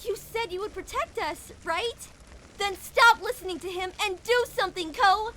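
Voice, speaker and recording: A woman voicing a desperate young village girl in a visual novel, shrill, imploring and emotional. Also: moderate pace, sharp tone, a little hiss or hum. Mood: desperate pleading.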